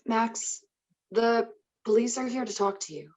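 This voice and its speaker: A woman's voice: fairly high pitch, unhurried, a little mellow. A woman playing a polite, hospitable grieving mother in a table read of a drama script.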